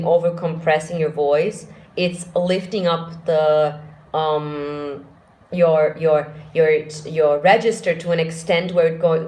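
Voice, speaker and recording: Deep-voiced woman vocal coach. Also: a little mellow, low-quality recording. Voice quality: deep speaking voice. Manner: demonstrates vocal exercises, reads out viewer questions. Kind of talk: live Q&A singing lesson.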